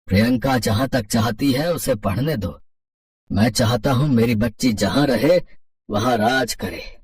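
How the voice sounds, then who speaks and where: A man's voice: mid-range voice, measured pace, distant mic, close to an everyday voice. An actor playing a kindly, protective middle-aged father in a cartoon moral story, hesitant yet reassuring. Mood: firm, protective.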